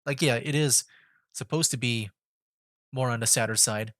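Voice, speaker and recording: A man giving casual live-stream game commentary, thinking aloud in a rambling, halting way. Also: medium pitch, a bit bright. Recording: game music behind the voice.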